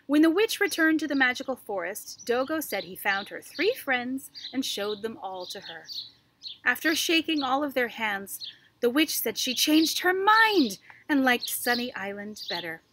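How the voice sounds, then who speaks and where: A middle-aged woman reads a children's story aloud in an expressive, animated way, with deliberate enunciation and dramatic pauses. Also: high-pitched. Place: outdoors on a backyard wooden deck. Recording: faint outdoor background noise with birdsong.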